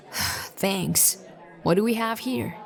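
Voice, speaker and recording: A woman's voice: mid-range voice, very slow, gravelly, soft background music. A woman playing a polite, determined young detective in a sci-fi audio drama. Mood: uneasy, focused.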